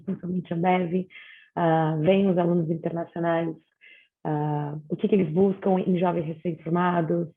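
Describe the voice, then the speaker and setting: A woman hosting a webinar interview, speaking in a measured way with hesitant fillers. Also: fairly low pitch. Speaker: host. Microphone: video call. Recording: tinny phone-like video call.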